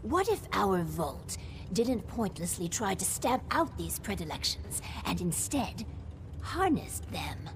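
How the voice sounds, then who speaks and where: A woman voicing a cold, ambitious vault overseer in a video game, with a haughty, measured, formal manner. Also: mid-range voice, sing-song. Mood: proud, scheming. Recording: clear background machinery noise.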